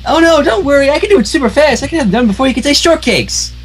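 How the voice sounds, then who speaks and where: A man voicing a hyper, cheerful young female pony baker who rambles in a high squeaky voice. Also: very animated, rapid-fire, faint music behind, full character voice. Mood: eager. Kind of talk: amateur reading of fan fiction.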